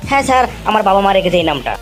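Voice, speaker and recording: A woman's voice: mid-range voice, sing-song, very fast, faint music behind, lightly acted. A woman voicing a cocky, simple village pupil in an amateur animal cartoon comedy. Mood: matter-of-fact.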